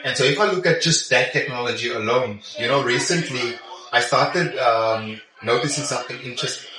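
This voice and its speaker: A man's voice: medium pitch, a little fast. A middle-aged man with a South African accent giving conversational interview answers as a technology executive, often checking in with a questioning "yeah?". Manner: frequent "yeah?" check-in tags. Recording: echoey, distant mic, busy crowd chatter.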